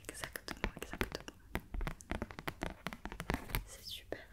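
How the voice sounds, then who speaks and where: A woman whispering an ASMR roleplay as a doctor, giving soft, repetitive, reassuring instructions. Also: takes it slowly.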